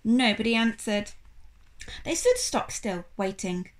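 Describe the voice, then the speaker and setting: Young female storybook reader, high-pitched and highly expressive. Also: a little slow. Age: young adult. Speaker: teacher reading aloud. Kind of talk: expressive children's storybook reading.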